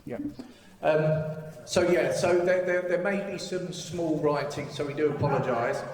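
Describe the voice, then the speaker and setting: A man's voice: on the high side, fairly even intonation, measured pace, slight background noise. A man organising a seminar, apologetic and stumbling over his words. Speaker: event organiser. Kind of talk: announcing at a seminar. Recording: echoey hall with audience around.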